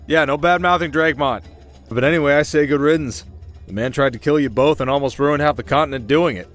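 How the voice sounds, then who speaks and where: A man acts out a playful young warrior who has been made a duke. The voice is on the higher side for a man, earnest and boyish, easily flustered and given to joking. It is fantasy audio drama with music underneath. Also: fast talker, fairly bright tone, natural voice. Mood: defensive, bashful. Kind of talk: comic audio drama acting.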